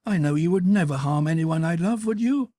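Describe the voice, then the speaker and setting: A man's voice: a little high, a little fast, dark tone, no room sound, voice pushed up, lightly acted. A man voicing a dreamy infatuated young woman. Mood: pleading.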